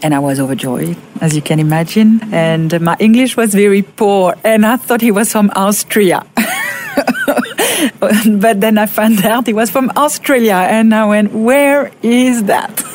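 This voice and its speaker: A woman in her fifties from Monaco with a French accent, telling her personal story in an interview, halting with fillers and restarts. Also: medium pitch, a little mellow, a little hiss or hum. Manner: halting, many fillers and restarts, laughs often. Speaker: guest.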